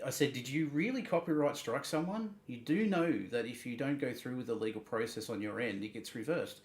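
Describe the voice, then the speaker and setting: A man hosting a livestream, reading messages and commenting in a halting, emphatic way, repeating words. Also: lowish voice. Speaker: livestream host. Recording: roomy, echoing sound.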